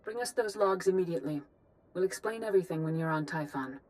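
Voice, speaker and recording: A woman's voice: on the low side, expressive, quick pace, darkish tone, in a large hall, lightly acted, low-quality recording. An actress playing a composed, grave female Jedi grand master in a video game. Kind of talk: video game cutscene acting.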